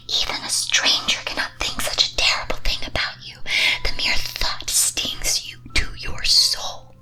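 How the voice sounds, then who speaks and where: A woman whispers a scripted ASMR monologue in a breathy, teasing, theatrical and deliberate way. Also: bright, forceful tone. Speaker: streamer performing as a character.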